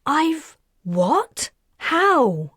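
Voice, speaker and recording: A raging young bird keeper stranded far from home, as a woman reader puts her on. Her voice climbs high and hard as she shouts. It sits close and dry, with no room around it. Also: a little slow, no room sound. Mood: pleading.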